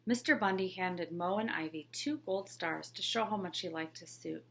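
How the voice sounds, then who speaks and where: A teacher reading a picture book aloud. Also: female voice, lowish voice, moderate pace, slight background noise. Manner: clear, expressive storytelling. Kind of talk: children's read-along narration.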